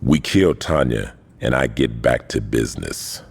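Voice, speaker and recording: An actor playing a proud, commanding veteran gang boss with an American accent in a video game cutscene. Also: male voice, unhurried, heavily acted. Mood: hard, resolute. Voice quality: gravelly, very deep. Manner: authoritative, menacing, street talk.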